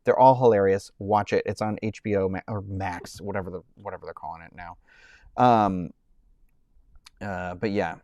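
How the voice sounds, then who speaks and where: A man in his thirties hosting a casual live stream from home, rambling with lots of fillers and restarts. He describes his own voice as high-pitched. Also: fairly even intonation, normal speed, a little mellow, no echo, highs cut off. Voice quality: says he has a high-pitched voice.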